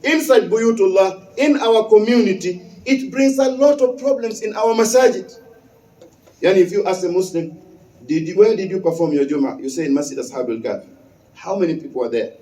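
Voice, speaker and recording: A man, preaching a Friday sermon as an imam. His voice sits high but sounds mellow, and he repeats his key phrases with emphasis. The mic is some distance away, so the sound carries through an echoing mosque hall. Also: brisk. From West Africa.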